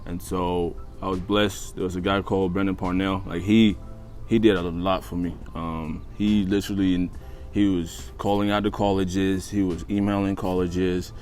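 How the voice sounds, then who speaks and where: Young man, very low voice, non-native English, casual interview storytelling, repeated phrases, music underneath. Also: little pitch movement, moderate pace, darkish tone. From East Africa and Australia. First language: not English, learned it after moving to Australia. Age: 20s. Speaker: interview guest, college basketball player. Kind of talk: casual interview, telling own life story. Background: background music.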